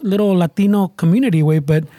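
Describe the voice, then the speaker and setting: A quick-talking man with a high but mellow voice, recorded dry. He jokes and swears in casual chat, sprinkling Spanish words through his English. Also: no room sound.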